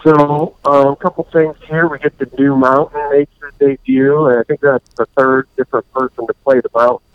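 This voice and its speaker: Male podcast host, mid-pitch, rambling casual recap, dry and slightly dull lo-fi sound. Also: flat delivery, smooth, dry recording. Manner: rambling, acts out scenes in quick put-on voices.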